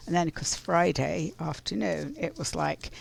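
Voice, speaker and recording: A woman with a scratchy voice from pollen, doing a casual oracle card reading in an unhurried, reflective way. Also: fairly low pitch, vocal fry. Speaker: spiritual card reader.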